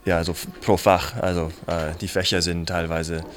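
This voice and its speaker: Hesitant low-voiced man, native English speaker. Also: little pitch movement, normal speed, slight background noise. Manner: hesitant, many fillers, drifts into English. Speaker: music teacher. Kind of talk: casual interview answers.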